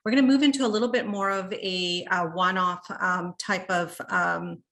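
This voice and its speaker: A woman's voice: on the low side, fairly even intonation, moderate pace, a bit bright. A woman moderating a panel over a video call, speaking hesitantly with many "um"s and restarts, thanking each speaker.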